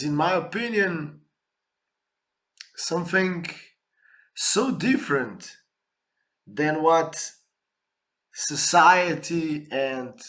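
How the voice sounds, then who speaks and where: A man's voice: a little high, fairly bright tone. A man from Slovenia, hosting his own podcast in an earnest, inspirational monologue. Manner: earnest, inspirational, drawn-out phrasing. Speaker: podcast host. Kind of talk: slow, reflective solo podcast monologue.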